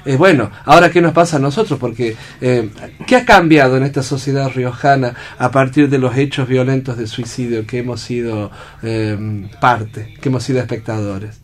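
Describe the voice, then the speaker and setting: A man with an Argentine accent hosting a radio talk show, speaking deliberately and haltingly, often checking in with short rhetorical questions. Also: mid-range voice. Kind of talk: reflective radio discussion.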